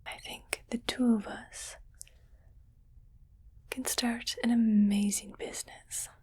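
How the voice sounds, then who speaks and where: A woman's voice: fairly high pitch, level tone, full character voice. Woman playing a whispering, condescending alien captor. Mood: patronizing, coaxing. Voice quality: breathy whisper. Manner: slow, patronizing, softly coaxing. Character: condescending, scheming rich female alien keeping a human pet. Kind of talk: ASMR roleplay.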